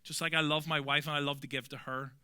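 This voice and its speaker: Man, Belfast accent, pastor preaching, earnest and emphatic, soft trailing endings, very bright crisp tone. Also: fairly high pitch, flat delivery, fast talker. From Northern Ireland. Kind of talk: sermon.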